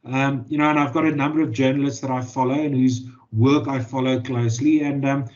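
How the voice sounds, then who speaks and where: A man's voice: medium pitch, fairly even intonation, fairly quick, darkish tone. South African man, hesitant and thoughtful. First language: Afrikaans. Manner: thoughtful, many fillers and repeated words. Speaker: journalist and author. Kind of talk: interview answers. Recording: dull lo-fi audio.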